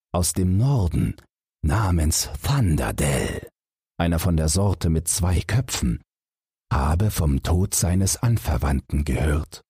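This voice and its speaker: A man reading a bedtime fairy tale as a scripted audiobook, with measured, dramatic storytelling. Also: low-pitched, a little mellow, breathy edge, no room sound, studio quality. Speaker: audiobook narrator.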